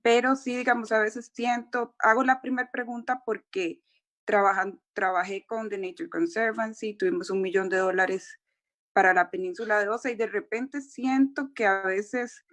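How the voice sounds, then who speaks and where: A woman's voice: mid-range voice, fairly even intonation, normal speed, fairly bright tone. A woman from Rica, speaking, asks the panel long, winding questions full of fillers over a video call. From Costa Rica. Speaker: audience member asking questions. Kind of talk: formal question at a symposium.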